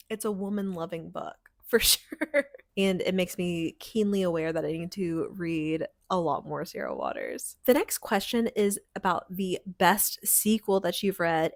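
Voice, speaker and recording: A woman's voice: medium pitch, no room sound, studio quality. A woman talking casually and enthusiastically to viewers like a book vlogger, with emphatic, slangy, informal phrasing. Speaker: book vlogger, channel and podcast host. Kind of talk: casual scripted-free chat to viewers, book review.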